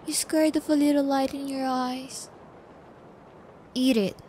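A woman acting a sadistic young female captor in an ASMR audio roleplay, taunting and gloating with drawn-out, cruel menace. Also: high-pitched, flat delivery, warm tone, a little hiss or hum. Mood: cold taunting.

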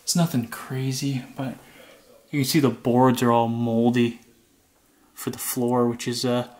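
A young man casually narrating his walk through an abandoned house, chatty and relaxed. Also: mid-range voice, unhurried. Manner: relaxed, chatty, frequent "like" and laughs. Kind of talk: casual walkthrough vlog. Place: inside an empty abandoned house.

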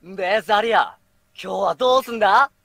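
A man's voice: sits high, brisk, gravelly, fairly bright tone, no echo, studio quality, exaggerated voice. A man playing an earnest, brash young hero in an amateur voice drama rehearsal. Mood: brash, loud.

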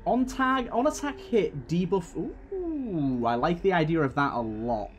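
A man's voice: high voice, sing-song, measured pace, dull audio, soft background music. A man giving casual gameplay commentary, thinking aloud and talking to the game's characters. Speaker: gaming video host.

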